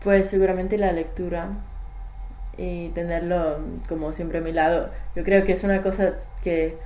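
A young woman whose first language is English, answering interview questions in halting speech with many fillers and restarts. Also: fairly low pitch, level tone, dark tone. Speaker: language study participant. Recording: echoey room, faint noise.

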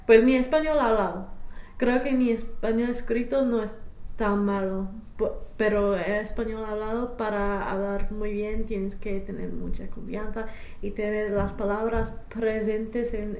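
A woman's voice: medium pitch, darkish tone, roomy sound, a little hiss or hum, low-quality recording. A young woman, a native English speaker, answering interview questions as a language learner, hesitant with many fillers and restarts. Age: young adult.

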